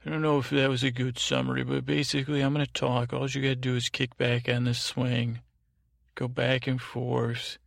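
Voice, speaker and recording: A man rambles through a bedtime monologue in a heavily creaky, gravelly voice. He is halting and repetitive, circling back on his words in an even drone. The sound is dry and close. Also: mid-range voice, dry recording. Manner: meandering, halting, repetitive, droning. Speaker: podcast host.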